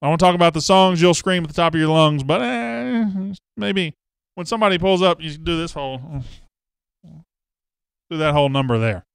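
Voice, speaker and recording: Casual guy hosting a live show, clear smooth voice, dry studio sound, reads comments and jokes. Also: fairly high pitch, moderate pace, no room sound. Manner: chatty, reads viewer comments aloud, self-mocking jokes. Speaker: host. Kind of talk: casual live-stream chat.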